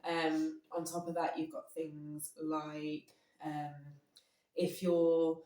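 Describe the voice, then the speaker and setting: A woman co-hosting a casual podcast chat, a bit hoarse from a cold, explaining at length with frequent ums and laughs. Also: low-pitched, level tone, takes it slowly, mellow, distant mic. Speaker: podcast co-host. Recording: echoey room, voice off-mic.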